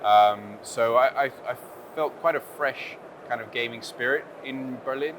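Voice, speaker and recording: A man's voice: mid-range voice, measured pace, a bit bright, rough recording. A British man whose first language is Dutch, answering interview questions conversationally and hesitantly, with many filler phrases. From United Kingdom. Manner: hesitant, with frequent "you know". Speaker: interview guest, game studio general manager. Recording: clear background noise, busy venue ambience.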